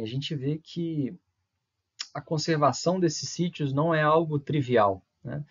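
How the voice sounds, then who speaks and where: Husky man giving a lecture. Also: mid-range voice, highs cut off. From Brazil. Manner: hesitant, many fillers and restarts, frequent tag questions. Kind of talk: recorded university lecture.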